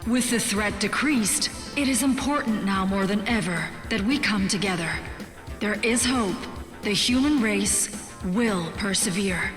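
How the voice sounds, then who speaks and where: An actress playing a grave resistance broadcaster in a video game, making a formal, measured announcement. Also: female voice, mid-range voice, fairly bright tone, lightly acted. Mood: solemn, hopeful. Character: solemn resistance broadcaster announcing humanity's victory over demons. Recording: echoing broadcast with long reverb, music behind.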